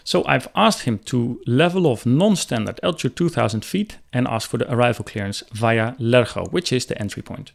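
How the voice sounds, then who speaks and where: A man in his thirties explains things like an instructor, with a creaky, gravelly edge to a mid-pitched voice. He speaks as a pilot walking listeners through procedure, close on a desk microphone indoors. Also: expressive, normal speed, hardly any pauses. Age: 30s. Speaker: helicopter pilot explaining radio calls. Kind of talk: instructional commentary. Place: small room indoors.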